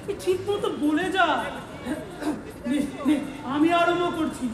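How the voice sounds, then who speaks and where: A man's voice: very high-pitched, unhurried, crowd noise. A man playing a flustered amateur actor in a comic stage play, theatrical and given to solemn sing-song declaiming. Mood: flustered, exasperated. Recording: distant echoey hall recording with music.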